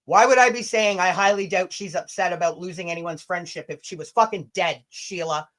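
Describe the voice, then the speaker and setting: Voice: medium pitch, fairly quick, a bit bright. Sweary, blunt livestreamer. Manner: stumbles and rereads when reading aloud. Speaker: livestream host. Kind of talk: casual livestream chat and reading emails aloud.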